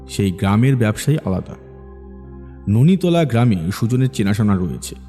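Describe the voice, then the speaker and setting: A man's voice: lowish voice, warm tone. A man narrating a scripted horror story as its storyteller. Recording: long reverb, music behind.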